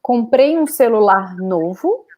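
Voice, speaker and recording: A woman with a fairly high voice and a Brazilian accent speaks as a language teacher. She is a native Portuguese speaker, explaining things informally as in a live online class. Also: animated, a little slow, dark tone.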